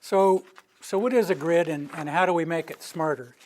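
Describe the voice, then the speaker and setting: Older man with a steady monotone delivery. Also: on the high side, moderate pace. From Rocky Mountain region of the US. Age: older, near retirement. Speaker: utility planning director giving a public presentation. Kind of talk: informal public presentation. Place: meeting room with a live audience.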